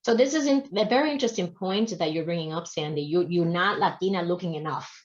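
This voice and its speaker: A woman's voice: on the low side, quick pace. Affirming woman moderator on a dull video call. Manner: affirming, recaps guests' stories. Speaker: panel moderator. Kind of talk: casual panel moderation. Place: home office with bookshelves.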